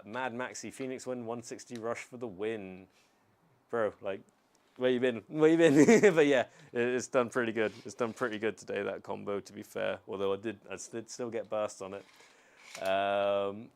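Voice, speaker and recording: Low, smooth-voiced man chatting casually. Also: normal speed. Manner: relaxed, rambling, many ums and restarts. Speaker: streamer answering chat. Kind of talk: casual live-stream chat.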